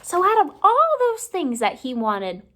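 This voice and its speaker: A woman reading a children's storybook aloud like a teacher, with expressive, deliberate phrasing and drawn-out words. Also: very high-pitched. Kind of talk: children's read-aloud narration.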